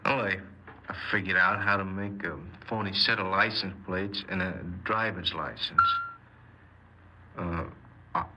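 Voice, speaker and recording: Low-voiced sullen young man. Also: fairly even intonation, unhurried. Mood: hesitant, subdued. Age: young adult. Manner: hesitant, offhand. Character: sullen, aimless young man confessing his crimes. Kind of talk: 1950s radio drama acting. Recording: noisy old 1950s radio recording.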